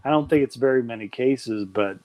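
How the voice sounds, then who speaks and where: Man, stream host, casual chat, rambling, enthusiastic, mid-pitched voice. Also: a little fast, a little mellow. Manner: rambling, enthusiastic, many fillers and restarts.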